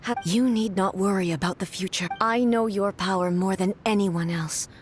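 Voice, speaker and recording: An actress playing a stern, composed immortal warrior ruler in a video game, speaking in a formal, stately manner. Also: female voice, medium pitch, soft background music, close to an everyday voice. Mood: grave, reflective. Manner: formal, measured, stately delivery. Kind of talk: scripted video game voice acting.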